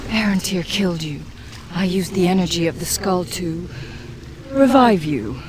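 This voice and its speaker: A woman's voice: fairly low pitch, animated, measured pace, background noise. An actress playing a sly, doting demon woman companion in a fantasy game, with intimate, dramatic delivery. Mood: knowing, assured. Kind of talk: fantasy video game acting. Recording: music under the voice.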